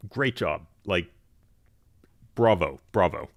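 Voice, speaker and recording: A man hosts a casual podcast, introducing submissions in an upbeat, encouraging way. His voice is a little low. The sound is close and dry, with no room echo. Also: animated, slow pace, gravelly. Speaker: podcast host.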